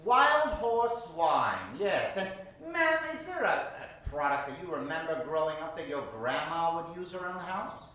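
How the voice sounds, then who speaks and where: A man's voice: on the high side, big pitch swings, normal speed, hardly any pauses, sharp tone. A man hosting an improv comedy show, projecting theatrically as he asks a live audience for suggestions. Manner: theatrical showman, projecting to a crowd. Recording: very echoey theater, distant mic.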